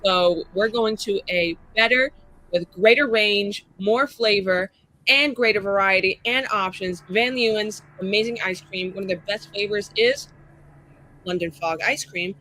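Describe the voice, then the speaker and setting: Effusive, bright-voiced woman over background noise. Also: medium pitch, a little slow, low-quality recording. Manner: effusive, slangy, tongue-in-cheek. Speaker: player on an actual play show. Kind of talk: casual chat introducing a character.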